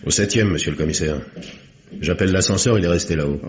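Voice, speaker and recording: An actor playing a deferential police inspector in his thirties in a 1950s radio drama. He gives quick, respectful reports to his boss. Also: low voice, breathy edge. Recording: dull old radio drama recording.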